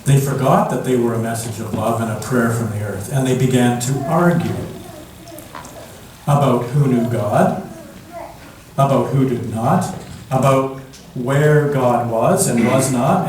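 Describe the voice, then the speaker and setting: Male storyteller, unhurried and gentle read-aloud with long pauses; very reverberant room, distant mic. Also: medium pitch. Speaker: storyteller reading at a church. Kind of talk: slow picture-book read-aloud. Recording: echoey room from far off, background noise.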